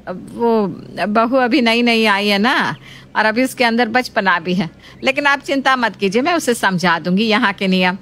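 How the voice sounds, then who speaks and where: A woman voicing a homely middle-aged mother-in-law who coaxes and gently scolds in a family story, with faint noise behind. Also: a little high, moderate pace, close to an everyday voice. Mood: placating. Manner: coaxing, explanatory.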